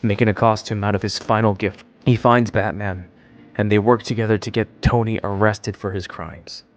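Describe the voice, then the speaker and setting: A man's voice: lowish voice, steady tone, moderate pace. A man reading scripted narration for a fan video essay.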